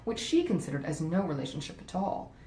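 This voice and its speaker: Lady reading an old novel out loud, mellow voice, lots of up and down, roomy echo. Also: big pitch swings, a little fast. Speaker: author reading aloud. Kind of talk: expressive audiobook narration, read live. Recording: roomy echo, faint rumbling noise.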